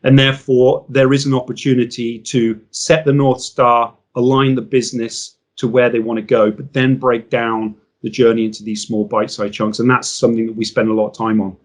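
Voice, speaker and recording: A man's voice: medium pitch, little pitch movement, fairly quick, few pauses. Articulate man explaining as interview guest. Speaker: interview guest, business consultant. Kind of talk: business interview, explanatory talk.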